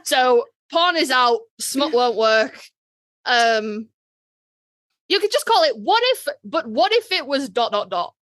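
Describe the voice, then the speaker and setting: A woman's voice: sits high, lively intonation, slow pace, fairly bright tone, no echo. A woman co-hosting a podcast, chatting casually in a wry, blunt, joking way. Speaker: podcast co-host. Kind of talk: casual chat.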